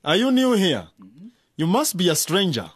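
An actor playing a domineering, patronizing long-serving town mayor in a radio drama, with a pompous, condescending manner. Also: male voice, high-pitched, huge pitch swings, moderate pace, slightly rough, dry recording, clean studio sound, exaggerated voice. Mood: smugly patronizing.

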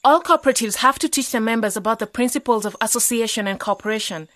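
Woman playing an outspoken, high-voiced young villager. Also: quick pace, a bit bright, lightly acted. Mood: firm. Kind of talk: radio drama acting.

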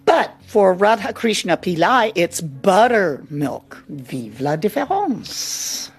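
A female radio host with a mid-pitched, very animated delivery. She presents in a chatty style, with faint music behind her. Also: takes it slowly, slightly rough.

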